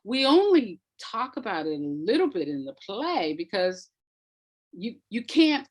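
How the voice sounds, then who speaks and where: A woman's voice: medium pitch, sing-song, a little slow. A woman speaking as a playwright guest in a thoughtful interview, reflective and repeating words for emphasis.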